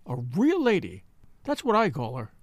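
A man's voice: a little high, huge pitch swings, normal speed, no room sound. A man voicing a devoted young maid of all work, crying and talking in plain rustic speech. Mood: tearful devotion.

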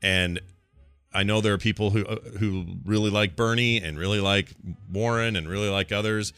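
Bright-voiced man, low and dry. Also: steady tone, moderate pace, clear, resonant tone, no echo. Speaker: podcast co-host. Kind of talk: casual political chat.

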